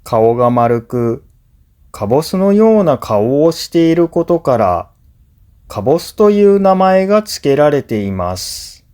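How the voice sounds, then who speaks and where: A man's voice: a little high, very animated, a little slow, darkish tone, clean tone. A man reads a scripted story for language learners as a teacher, enunciating carefully in short, separated phrases. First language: Japanese.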